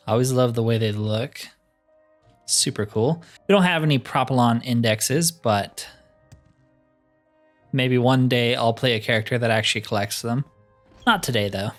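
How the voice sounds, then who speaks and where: A man hosting a game playthrough with casual, informal commentary, thinking aloud as he plays. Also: medium pitch. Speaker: host of a game roleplay series. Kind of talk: casual let's play commentary. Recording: music behind the voice.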